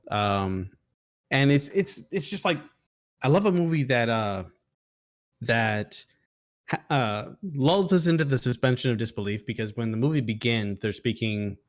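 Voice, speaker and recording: A man with a mid-pitched voice chats about film as a review co-host. His delivery is casual and rambling, full of halting restarts. He swears freely as he goes. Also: lively intonation.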